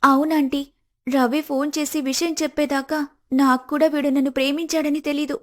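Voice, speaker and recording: A woman plays a loyal young friend in an animated moral tale. She has a high voice and a confident, assertive way of speaking. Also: normal speed, close to an everyday voice. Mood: heartfelt. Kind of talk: animated moral-story dialogue.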